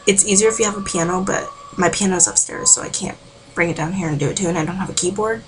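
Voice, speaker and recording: A woman giving a casual, rambling vocal warm-up tutorial from home, full of filler words. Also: lowish voice, fast talker, background noise. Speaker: amateur singing tutor.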